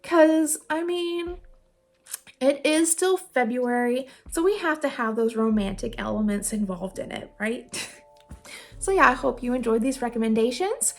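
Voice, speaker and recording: A woman's voice: on the high side, expressive, measured pace. A woman hosting a casual recommendation vlog, talking in an informal, rambling, self-deprecating way with a giggly manner. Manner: giggly, laughs often while talking. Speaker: video host giving game recommendations. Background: music playing under the voice.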